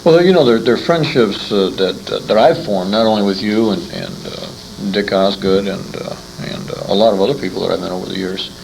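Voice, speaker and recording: Low voiced guy interviewing, croaky, halting and repeats himself, bit of hiss on an amateur tape. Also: sing-song, a little mellow. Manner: rambling, halting, repeats words. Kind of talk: informal interview chat. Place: old-time radio convention. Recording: faintly noisy amateur convention tape.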